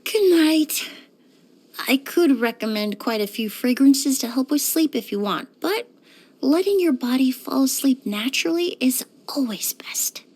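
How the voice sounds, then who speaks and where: High-voiced, courteous young perfumer woman. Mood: gentle, modest. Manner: polite, measured, softly formal. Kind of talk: scripted video game character lines.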